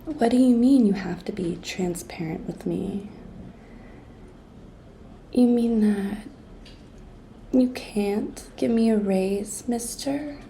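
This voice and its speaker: A woman playing a cute, pushy young intern coaxing her boss in an intimate, breathy ASMR whisper, coy and teasing. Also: medium pitch, slow, long pauses, a little hiss or hum. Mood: pouty, pleading. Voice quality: breathy whisper, soft-spoken. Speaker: ASMR roleplay performer.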